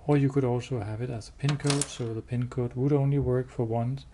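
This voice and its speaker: A man giving a hands-on product demo indoors, explaining step by step in a halting, matter-of-fact way. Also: mid-range voice, steady tone. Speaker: product demonstrator. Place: indoors, showroom with wall-mounted devices. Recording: clear background noise.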